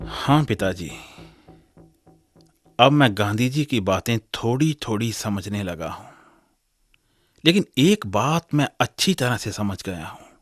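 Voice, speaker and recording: A man's voice: lowish voice, lively intonation, takes it slowly, pauses often, no echo. A man playing a thoughtful, questioning son in a radio drama, earnest and reflective as he speaks with his father. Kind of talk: radio drama acting in Hindi. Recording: music under the voice.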